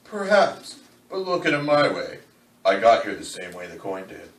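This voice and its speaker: Man playing a coldly calm, low-voiced killer. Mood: insistent, menacing. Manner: measured, deliberate, formal phrasing. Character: calm, menacing, philosophical male killer, coldly fatalistic. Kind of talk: dramatic reading of a novel. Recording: roomy, distant, faint background noise.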